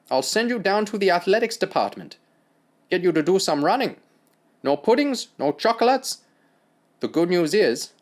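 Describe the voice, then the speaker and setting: A man's voice: high-pitched, pitched above the performer's own voice. A man voicing a stern sixty-year-old German army doctor with a German accent, blunt and scornful.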